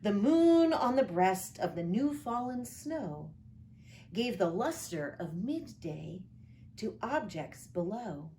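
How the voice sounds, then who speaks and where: Slow, expressive woman pastor reading aloud. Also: mid-range voice, slight background noise. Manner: expressive, lilting read-aloud delivery; friendly direct address to listeners.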